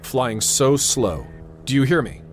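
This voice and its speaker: An actor playing a tense, authoritative airfield controller in a radio drama, narrating and giving orders with clipped urgency. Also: male voice, mid-range voice, lively intonation, measured pace, slight background noise, close to an everyday voice. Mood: stern, insistent. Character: tense, commanding male airfield tower controller narrating a crisis.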